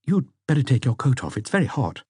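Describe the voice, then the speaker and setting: Audiobook girlfriend, thirties male reader acting her: lightly raised voice, welcoming yet anxious, animated inflection. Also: mid-range voice, fairly quick, slightly rough, dry recording, studio quality, voice pushed up. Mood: hospitable. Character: woman of thirty-four, hospitable but anxious girlfriend.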